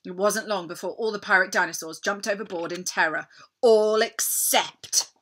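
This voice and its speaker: A woman narrating a children's story in an expressive, deliberate, dramatic storytelling manner. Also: mid-range voice, breathy edge. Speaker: storybook reader.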